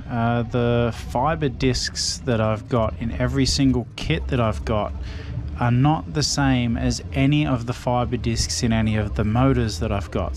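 A man's voice: medium pitch, slight background noise. Easygoing man narrating workshop build. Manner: relaxed, rambling, filler-heavy, self-deprecating. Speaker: motorbike restoration vlogger. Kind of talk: casual workshop voice-over narration.

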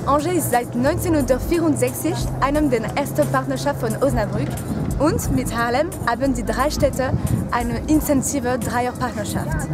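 A woman in her twenties from France, presenting clearly and measuredly outdoors in an old town. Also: sits high. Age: 20s. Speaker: city ambassador.

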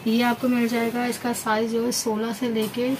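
A woman's voice: fairly high pitch, completely flat delivery, normal speed. A woman with a Pakistani accent presenting stock in an informal sales style, repeating herself and mixing in English words. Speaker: shop presenter showing wholesale stock. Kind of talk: informal product showcase sales talk. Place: indoor garment shop packed with fabric. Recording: clear background noise, handheld phone sound.

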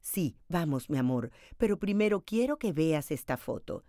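A woman's voice: sits low, big pitch swings, moderate pace, warm tone, no room sound, studio quality. Woman reading as an assured, loving old grandmother. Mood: affectionate. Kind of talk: storybook character reading.

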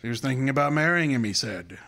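An actor playing a pompous, dryly witty great detective in an old-time radio style drama, speaking formally and with measured care. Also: male voice, medium pitch, animated, pushed and bright. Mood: coolly probing.

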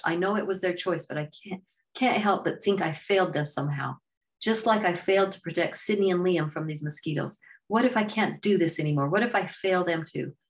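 A woman's voice: on the low side, pitched above the performer's own voice, lightly acted. A woman voicing an exhausted, grieving young man on a hard journey, speaking in a weary, frustrated way.

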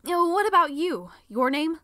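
A woman's voice: very high-pitched, sing-song, moderate pace, no echo, clean studio sound, full character voice. A woman playing a feisty, jittery young ninja in an audio drama. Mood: indignant. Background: loud music.

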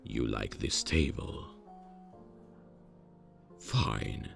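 A man playing a stiff, awkwardly polite demon from hell in an ASMR comedy roleplay, speaking formally and haltingly in a deadpan way. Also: over music, heavily acted. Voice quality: very deep, gravelly. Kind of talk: ASMR roleplay, scripted comic monologue.

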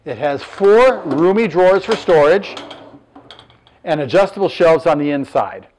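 Sixties male business owner, plain unpolished showroom pitch, a touch higher-voiced. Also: expressive, measured pace, a little mellow, slight background noise. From Southern California. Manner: plain, matter-of-fact, lists measurements with fillers. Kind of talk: product presentation. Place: furniture showroom, indoors.

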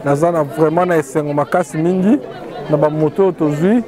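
Male Congolese party coordinator, fairly high pitch, interview at a crowded outdoor gathering. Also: steady tone, brisk, a crowd in the background. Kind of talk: interview statement to camera. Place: open-air covered yard at rally.

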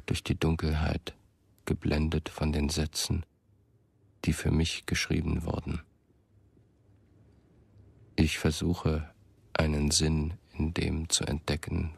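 A brooding narrator in a radio drama. The voice is extremely low with heavy creak, delivered in a flat, measured and introspective way. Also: male voice, full character voice. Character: brooding first-person narrator, disoriented yet lucid.

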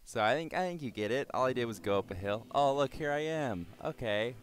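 A young man with a slightly raspy, hoarse voice giving casual let's-play commentary, swearing and mocking himself as he plays. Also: mid-range voice, animated, a little fast. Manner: swears and exclaims at the game, self-mocking. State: hoarse from yelling. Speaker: gaming channel host.

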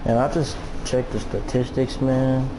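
A man's voice: mid-range voice, steady tone, measured pace, noisy background. A man commenting casually over video game cutscenes with crude, mocking asides. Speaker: gameplay commentator.

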